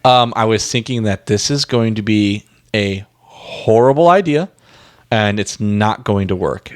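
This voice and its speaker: Male podcast co-host, lower register, very dry sound, informal and emphatic music discussion. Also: a little slow, dry recording.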